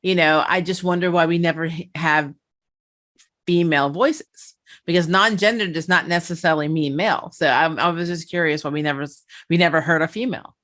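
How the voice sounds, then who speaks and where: A woman's voice: sits low, fairly even intonation, fairly quick, fairly bright tone. A woman chatting casually and animatedly as she hosts a discussion reviewing a TV show.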